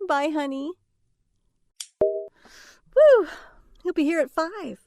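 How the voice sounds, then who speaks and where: A woman's voice: sits high, very animated, very slow, heavily acted. An actress playing a stressed mother and trucker's wife in an audio drama, by turns snappish and chatty. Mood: affectionate, upbeat. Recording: faint background noise.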